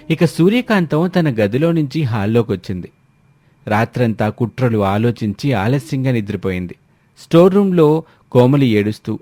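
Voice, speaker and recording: A man's voice: mid-range voice, warm tone. A man narrating a scripted dramatic family story.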